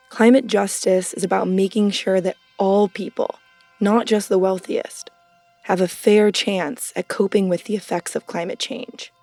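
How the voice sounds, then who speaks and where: A woman's voice: medium pitch, dark tone, no room sound. A woman narrating a documentary podcast from a script in a conversational, punchy way.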